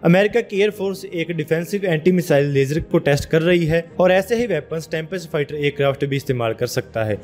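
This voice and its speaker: A man from India with a Punjabi accent, narrating a scripted defence news explainer as a steady voice-over. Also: fairly high pitch, a little fast, hardly any pauses, soft background music.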